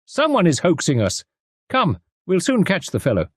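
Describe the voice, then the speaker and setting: A man voicing a brisk, energetic male doctor who speaks emphatically. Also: high-pitched, husky, dry recording, studio quality, voice pushed up, full character voice. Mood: eager.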